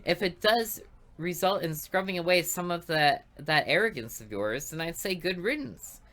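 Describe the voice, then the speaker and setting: A woman's voice: on the low side, lively intonation, normal speed, fairly bright tone. A woman voicing a stern, strict female schoolteacher who scolds in a prim, formal way. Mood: scolding.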